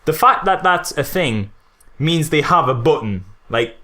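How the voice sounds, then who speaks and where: A man's voice: fairly high pitch, lively intonation, a little slow, pushed and bright. A man co-hosting a casual film critique chat, speaking in an incredulous, emphatic, mocking way. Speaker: podcast co-host.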